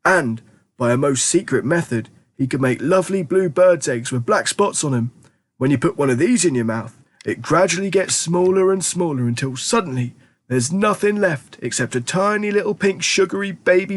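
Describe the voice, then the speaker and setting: A man voicing an eager, excitable grandfather in his nineties, exclaiming with theatrical emphasis. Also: on the high side, moderate pace, pitched above the performer's own voice. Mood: savouring. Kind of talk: audiobook character reading.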